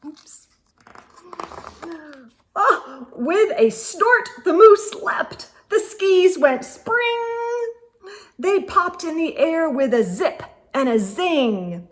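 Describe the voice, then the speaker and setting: High, animated woman reading a storybook. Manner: theatrical, drawn-out suspense with gasps and asides. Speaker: storytime host reading picture books aloud. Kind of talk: expressive children's read-aloud narration.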